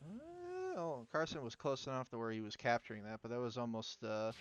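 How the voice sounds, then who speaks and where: A man casting a video game tournament in a relaxed, chatty way, with lots of fillers. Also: medium pitch, fairly quick. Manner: chatty, laid-back, filler-heavy. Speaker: tournament caster. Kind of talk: casual game commentary.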